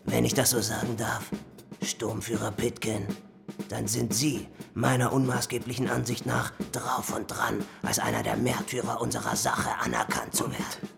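A zealous stormtrooper envoy in a radio play. He is an officious, insistent man with an extremely creaky, gravel-filled voice at a middling pitch, with music in the production. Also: flat delivery, normal speed. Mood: solemn, flattering. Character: zealous, formal male fascist party stormtrooper envoy. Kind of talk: German radio drama acting.

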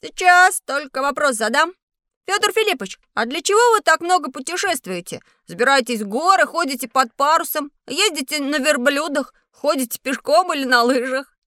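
Earnest, curious schoolboy, high voice. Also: female voice, expressive, moderate pace, bright, forceful tone, no echo, clean studio sound. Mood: eager, curious. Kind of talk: scripted educational audio drama.

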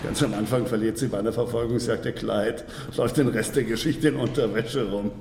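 An actor in a German radio drama playing a brash, bossy, gruff movie director. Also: male voice, medium pitch, fairly even intonation, fairly quick, a little mellow, lightly acted. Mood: jovial. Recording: echoey hall with noise behind.

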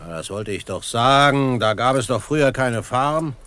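An actor playing a confident, courteous frontier hero in a western radio drama, speaking with polite assurance. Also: male voice, medium pitch, a little slow, fairly bright tone, lightly acted. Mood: assured, courteous.

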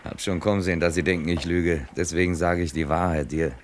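Male actor as evasive small-time crook, very low pitch, meek and sly, 1970s radio play. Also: fairly even intonation, fast talker, lightly acted. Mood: sheepish, evasive. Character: shifty, sly male intruder caught searching a boat. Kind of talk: 1970s German radio crime drama. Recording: slightly noisy old radio drama recording.

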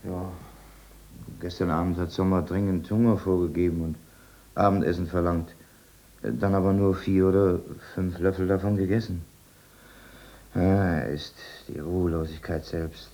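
An actor playing a dutiful, stern senior orderly, giving a formal report in a 1950s German radio drama. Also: male voice, low voice, fairly even intonation, normal speed, warm tone. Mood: matter-of-fact.

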